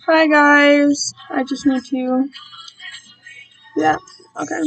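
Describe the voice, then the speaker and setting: Wysoki, niespieszny głos kobiety, rozgadanej i autoironicznej. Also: female voice, sits high, steady tone, drawn-out words, gravelly, no echo, soft background music, rough recording. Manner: rambling, self-deprecating, easily distracted. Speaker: streamer reading own fan-written work to viewers. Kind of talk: casual live-stream chat.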